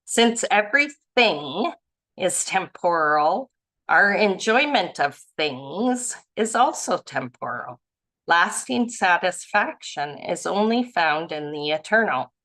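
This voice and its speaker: A woman from Canada leading a spiritual study group, reading passages aloud and musing on them gently. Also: on the low side, big pitch swings, slow pace. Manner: gentle, musing, softly laughing at herself.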